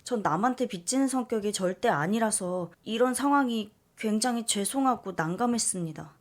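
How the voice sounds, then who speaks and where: A woman narrating a listener's letter in first person, soft and confiding. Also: medium pitch, lively intonation, normal speed. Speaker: story reader.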